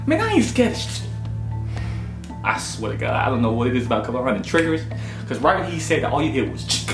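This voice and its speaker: A young American man in his twenties casually telling a personal story in an expressive, self-mocking way and acting out dialogue. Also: sits high. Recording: roomy echo with music playing behind. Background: music.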